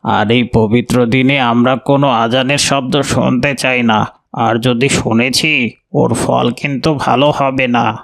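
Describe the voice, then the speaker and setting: Guy doing bossy cartoon neighbour man, gravelly creaky voice, kinda threatening. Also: mid-range voice, steady tone, unhurried, fairly bright tone. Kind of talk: dubbed cartoon dialogue.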